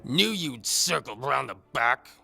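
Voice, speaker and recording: A man's voice: medium pitch, lively intonation, slow pace, vocal fry, pushed and bright, soft background music, voice pushed up, exaggerated voice. A man voicing a loutish, boastful mercenary knight in an audio drama, with a thick put-on accent and a resonant voice muffled inside a helmet. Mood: taunting, menacing. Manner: thuggish, taunting, pompous. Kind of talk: audio drama character acting.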